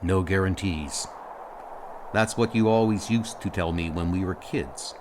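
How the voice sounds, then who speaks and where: A man's voice: low-pitched, measured pace, background noise, pitched below the performer's own voice. A man voicing a caring, authoritative starship captain in a comic audio drama, speaking directly. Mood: grave, sympathetic.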